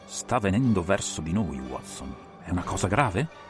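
A man playing a sharp, impatient detective with grave, urgent muttering, in a dramatised audiobook. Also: sits low, big pitch swings, normal speed, loud music behind the voice.

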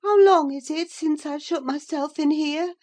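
A woman's voice: sits high, a little slow, darkish tone, pitched above the performer's own voice. A woman voicing a delirious, frightened young married woman in an audiobook of a classic novel, fretful, pleading and prone to gasping exclamations. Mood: bewildered.

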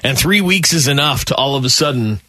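Man, radio co-host, chatty, bright tone, dry close mic. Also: mid-range voice, expressive, a little fast, dry recording. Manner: chatty, tells anecdotes. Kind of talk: casual radio banter.

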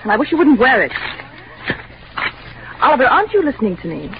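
A critical, fussing housewife in a vintage radio play. Her speech is animated in pitch, on a muffled old recording with faint noise. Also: fairly quick. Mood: nagging. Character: nagging, critical, fretful middle-class wife. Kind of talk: 1940s radio drama acting.

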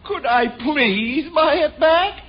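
A dull, old-time radio sitcom recording carries an anxious American greenhouse owner. His high voice moves up and down eagerly, earnest and effusive to the point of gushing. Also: lively intonation, slow pace, noisy background, exaggerated voice. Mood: urgent. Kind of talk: 1950s radio sitcom acting.

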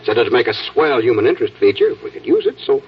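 A man's voice: mid-range voice, wildly swooping pitch, fast, breathy edge, recorded from a distance, exaggerated voice. An American man playing an eager, cheeky young newsroom assistant in a 1940s radio acting style. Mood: eager, teasing. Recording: muffled, noisy old radio broadcast.

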